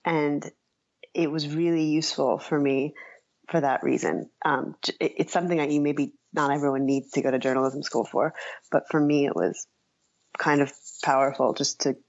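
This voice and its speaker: Woman guest on a podcast, low voice w lots of vocal fry, hedgy and modest, chatty. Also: little pitch movement, normal speed, a little mellow, muffled recording. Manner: hesitant, self-effacing, frequent hedging. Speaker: writer, interview guest. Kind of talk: casual reflective conversation.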